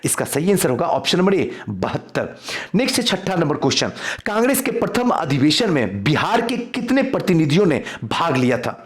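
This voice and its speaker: Male coaching teacher. Slightly high pitch with big swings, emphatic revision-quiz delivery addressed to students. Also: very animated, normal speed. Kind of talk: quiz-style lecture for exam revision.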